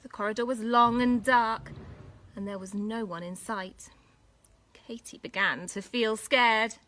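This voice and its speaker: A woman reading a children's story expressively as a school storyteller, with dramatic, deliberate phrasing for young listeners. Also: on the high side, steady tone, fairly bright tone.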